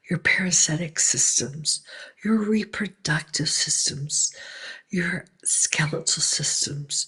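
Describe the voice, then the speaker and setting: A woman leads a guided healing meditation, her voice breathy and mostly half-whispered. She speaks very slowly, drawing out each word and pausing between repeated phrases. Her pitch sits a little low for a woman. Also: fairly bright tone.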